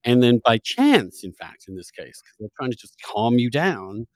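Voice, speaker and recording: Man, mid-range pitch, dry sound, podcast interviewer, reflective, probing, conversational. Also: big pitch swings, normal speed, no echo.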